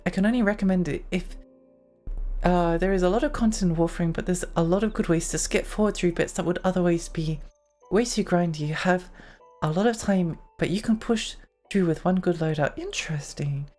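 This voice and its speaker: A young woman streaming a game, chatting in a rambling way with lots of fillers and giggles, her voice getting hoarse. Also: on the low side, brisk, mellow, soft background music. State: losing her voice. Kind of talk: casual live-stream chat while gaming.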